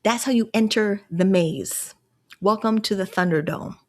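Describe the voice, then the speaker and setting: Chatty Canadian woman livestreaming solo. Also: measured pace. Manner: chatty and rambling, laughs often, pitch jumps up when excited. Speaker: livestream host. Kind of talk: casual solo livestream talk.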